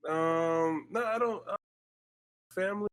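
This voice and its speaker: A man in his late twenties talking as a podcast guest, his voice a bit higher than most men's. He speaks slowly and hesitantly, filling pauses with ums and uhs. The sound is dry. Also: dry recording. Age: 20s. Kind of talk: casual podcast chat.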